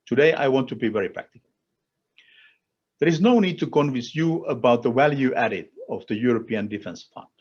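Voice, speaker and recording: A man from Finland with a Finnish accent delivers a formal policy speech as an official, in deliberate, emphatic short phrases. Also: mid-range voice, animated, a little mellow, husky, lo-fi sound. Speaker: European Commission official speaking at a conference.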